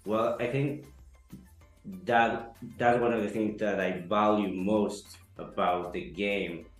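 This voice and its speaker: Low-pitched man, slow deliberate pace, roomy echo. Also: steady tone. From Ecuador. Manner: halting, many fillers and restarts, earnest. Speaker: interviewee. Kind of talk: testimonial interview. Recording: echoey room, soft music behind.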